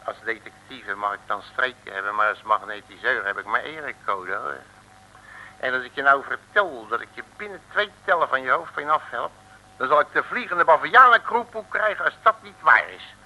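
Cheeky, very bright-voiced detective. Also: male voice, medium pitch, expressive, moderate pace, slight background noise, rough recording. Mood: coaxing, indignant. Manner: folksy, colloquial, cajoling, calls listener brother. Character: cheeky, wheedling streetwise male detective. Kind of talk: 1950s-60s radio serial acting.

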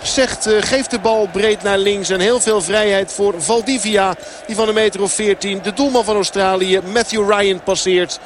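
High-voiced male football reporter. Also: steady tone, normal speed. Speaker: sports reporter. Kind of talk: live football radio commentary. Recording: clear outdoor background noise.

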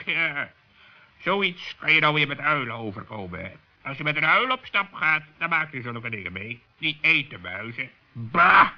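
A man's voice: a little high, very animated, moderate pace. A man playing a haughty, sly, chattering raven in a children's radio play, self-righteous and defensive. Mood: disdainful. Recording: dull old radio play recording.

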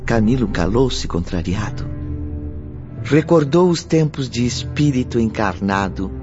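A man's voice: mid-range voice, lively intonation, a little slow, natural voice. A man narrating a scripted radio drama. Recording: muffled, loud music behind.

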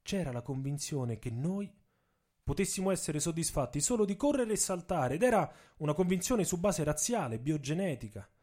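A man's voice: a little high, very animated, moderate pace, a little mellow. A man playing a proud, indignant young American activist professor in a dramatic radio monologue, telling his story emphatically. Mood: defiant. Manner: emphatic storytelling in the first person. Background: music with instruments.

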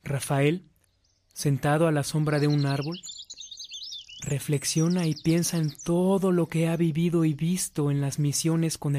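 A man's voice: fairly high pitch, warm tone, slight background noise. A man narrating a scripted radio drama.

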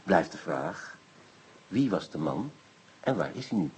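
A man playing an excitable, bossy police inspector in a radio drama, blustering and commanding. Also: low voice, measured pace, slight background noise, rough recording. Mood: brisk, musing. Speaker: actor in a radio play. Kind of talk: Dutch radio drama acting.

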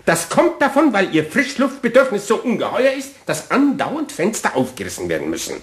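A man's voice: high-pitched, wildly swooping pitch, brisk. An actor playing an irascible, pompous, easily flustered male office boss and husband in a German radio play. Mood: pompous, irritated. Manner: pompous, fussy phrasing, blustering.

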